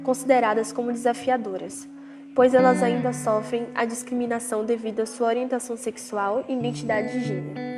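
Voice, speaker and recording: A young woman with a Brazilian accent reading scripted documentary material in a formal manner. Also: fairly high pitch. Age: young adult. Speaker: documentary narrator and interviewer. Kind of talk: scripted narration. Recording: distant mic under loud guitar music.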